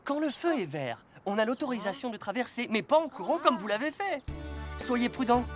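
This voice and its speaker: Patient cartoon rescue robot, music behind. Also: female voice, a little high, big pitch swings, brisk. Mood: firm, caring. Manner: clear, patient, didactic. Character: calm, caring rescue car robot teaching road safety. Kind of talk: French-dubbed preschool cartoon, instructive.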